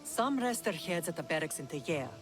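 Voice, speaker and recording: A woman's voice: sits low, very animated, a little fast, over music. Fierce, vengeful mother. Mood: forceful. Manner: forceful, scheming.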